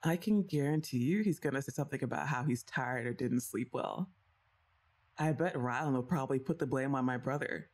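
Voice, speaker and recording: A woman's voice: very deep voice, a little fast, darkish tone. A young woman voicing her best friend's older sister in an ASMR roleplay, chatting and teasing the listener and laughing often. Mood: playful. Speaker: voice actress.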